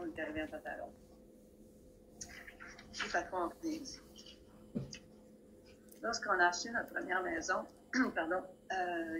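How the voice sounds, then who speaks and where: Older woman, low-pitched, plainspoken storyteller, video call with faint hiss. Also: pushed and bright, poor audio. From Montreal. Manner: plainspoken storyteller, wry asides. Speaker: guest speaker. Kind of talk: personal anecdotes at a community talk. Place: library room with bookshelves, indoors. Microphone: video call, laptop camera.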